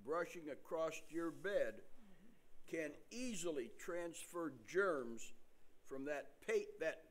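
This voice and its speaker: An older man playing a tactless, joke-telling hospital visitor in a comic stage reading, speaking with deliberate timing. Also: fairly high pitch, expressive. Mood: ominously helpful.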